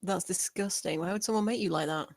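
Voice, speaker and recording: A woman's voice: fairly low pitch, brisk, vocal fry, dry recording, clean studio sound. Woman as a casual, wry crew member. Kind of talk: live table read of sci-fi comedy script.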